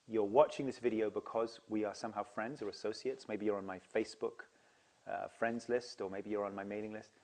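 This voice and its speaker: A man's voice: fairly low pitch, fairly even intonation, brisk, darkish tone. A man who leads seminars, explaining to camera in an earnest, enthusiastic way. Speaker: seminar leader.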